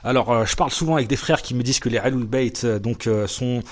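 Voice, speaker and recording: Podcast host guy, mid-pitched, lots of uhs, keeps pushing his guest. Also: quick pace. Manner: hesitant, many fillers, presses his guest. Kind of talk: podcast interview.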